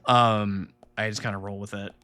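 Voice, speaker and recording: A man's voice: on the low side, level tone, moderate pace, pushed and bright, clear, resonant tone, dry recording. A man with a Rhode Island accent, a game developer chatting casually on a live stream, rambling with lots of fillers and laughs.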